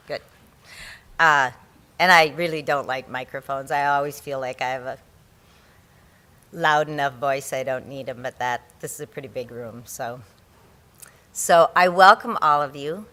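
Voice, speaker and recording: Low-voiced chatty woman teaching a seminar. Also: sharp tone. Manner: chatty, self-deprecating, restarts often. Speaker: environmental law instructor. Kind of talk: conference presentation. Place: large conference room. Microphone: handheld or podium microphone.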